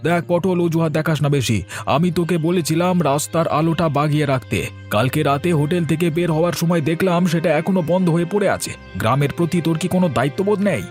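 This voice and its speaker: A man plays a bossy cartoon villager with a fairly high voice. He talks quickly in a scornful, scolding way, over faint music. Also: lightly acted. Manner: scolding, dismissive. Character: bossy, scornful, disbelieving village elder brother figure. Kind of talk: cartoon story acting.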